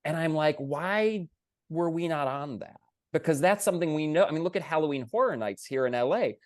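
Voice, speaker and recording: A man's voice: fairly high pitch, animated, a little fast, dry recording, clean studio sound. A man speaking as a panelist in a theater discussion, making emphatic points and posing rhetorical questions.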